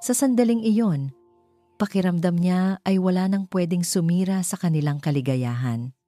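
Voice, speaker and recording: A woman reading a scripted romance story in a measured, sentimental, dramatic storytelling manner. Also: fairly low pitch, mellow, no room sound, studio quality. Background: background music.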